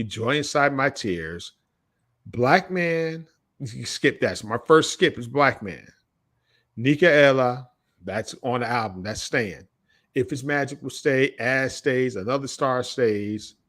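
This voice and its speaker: A man's voice: medium pitch, lively intonation, measured pace, a bit bright. A man in his late forties casually debating music as a podcast co-host, emphatic and opinionated, often repeating his points. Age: late 40s.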